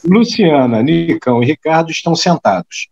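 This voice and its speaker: A man's voice: on the high side, lively intonation, moderate pace, creaky, a little mellow, husky, muffled recording. A man reading scripted narration for an audio drama. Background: music.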